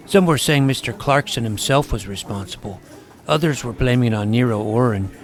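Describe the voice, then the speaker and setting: A man reading a first-person story aloud in a wry, deadpan manner. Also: medium pitch. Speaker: audiobook narrator. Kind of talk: first-person scripted narration.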